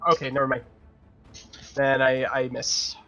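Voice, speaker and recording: A man's voice: medium pitch, completely flat delivery, measured pace, pushed and bright, faint music behind. A man playing a tabletop roleplaying game, chatting casually and hesitantly as he thinks aloud. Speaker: player.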